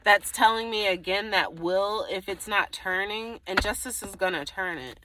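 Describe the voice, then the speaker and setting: A woman's voice: mid-range voice, normal speed, noisy background. A woman giving a casual, improvised tarot reading to the viewer, thinking aloud in a rambling way, often trailing off and circling back. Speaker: tarot reader.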